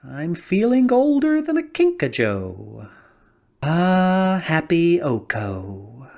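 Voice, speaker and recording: Weary old straw emperor, slow and sighing, often breathy, swinging widely in pitch, as a woman reader plays him. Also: very animated, a little mellow, full character voice. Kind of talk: storybook reading.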